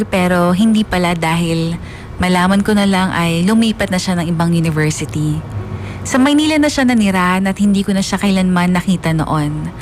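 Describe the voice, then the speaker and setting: A woman's voice: medium pitch, a little hiss or hum, natural voice. A woman acting a lovesick, obsessive woman who narrates her story in a wistful, confiding way in a radio drama. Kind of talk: first-person scripted drama narration.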